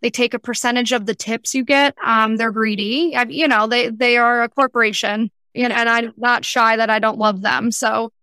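A young woman chatting casually as an interview guest, talking in an animated, candid and emphatic way. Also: on the high side, moderate pace, hardly any pauses, clear, resonant tone, dry recording. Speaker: podcast guest, freelance entrepreneur.

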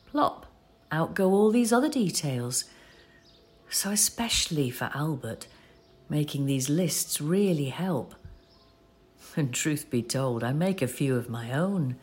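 An actress playing a patient, practical elderly wife in an audio play, explaining things in a fond, conversational way. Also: female voice, low voice. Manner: conversational, gently explaining, reassuring. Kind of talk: audio play acting with reflective narration.

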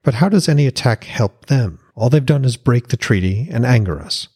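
A man's voice: medium pitch, expressive, normal speed, a little mellow, slightly rough, voice pushed up. A man voicing an earnest, argumentative Norse god prince who reasons insistently, in a fantasy audiobook. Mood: puzzled.

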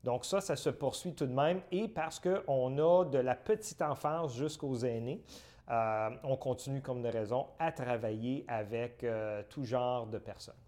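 A middle-aged man from Northern Ontario, answering interview questions in measured, list-like phrases with frequent hesitations. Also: mid-range voice, warm tone. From Northern Ontario, Canada. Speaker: guest, community centre director.

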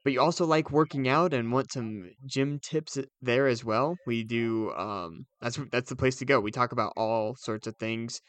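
A man's voice: medium pitch, moderate pace, few pauses, fairly bright tone, no room sound. A young man co-hosting a podcast in casual, conversational chat. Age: young adult. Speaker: podcast co-host.

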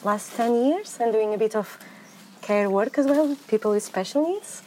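A woman from Portugal, answering interview questions in a soft-spoken way while weeding outdoors on a farm, searching for words now and then. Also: fairly high pitch, moderate pace, low-quality recording. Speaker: farm grower. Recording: faint outdoor noise behind.

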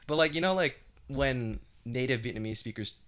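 A man's voice: mid-range voice, moderate pace, a crowd in the background. A man co-hosting a podcast and chatting in a laid-back, slangy way. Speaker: podcast co-host. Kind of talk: casual chat.

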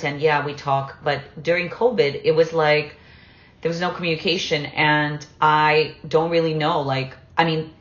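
Woman w a deep-ish voice chatting about her own life, stop-start phrasing, echoey muffled audio. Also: fairly bright tone. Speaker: interview participant, writer and parent. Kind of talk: personal, conversational talk.

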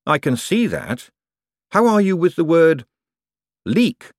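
A man voicing a polite, slightly uneasy male boss in an audiobook, probing and quick to apologise. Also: a little high, very animated, no echo, studio quality, pitched above the performer's own voice. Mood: curious. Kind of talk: audiobook character dialogue.

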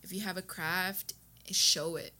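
Bright-voiced American woman, slightly low. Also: fairly even intonation, measured pace. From Texas, United States. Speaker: podcast guest, beauty business owner and educator. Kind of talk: casual conversational interview, personal storytelling.

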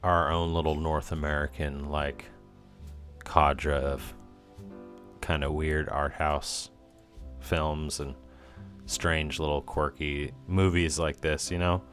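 Very deep-voiced, halting podcast guy. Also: flat delivery, fairly bright tone. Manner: halting, filler-heavy, chuckling. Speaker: podcast co-host. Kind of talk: casual film podcast chat. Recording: faint music behind.

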